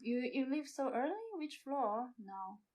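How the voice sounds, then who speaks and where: A woman improvising as a podcast guest, with halting, non-native phrasing. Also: high-pitched, warm tone. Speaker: podcast guest, amateur theatre performer. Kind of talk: improvised role-play and mock product pitching.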